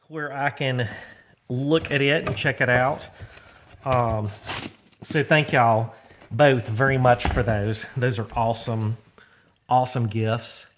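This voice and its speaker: A middle-aged man with an American accent talks to viewers as a vlog host. His delivery is informal and folksy, rambling along in slangy everyday speech at an ordinary mid-range pitch. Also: fairly bright tone, slight background noise. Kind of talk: casual rambling vlog talk.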